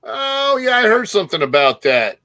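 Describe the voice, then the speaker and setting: Casual male co-host, slightly high pitch. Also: sing-song, normal speed, pushed and bright. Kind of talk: casual live chat.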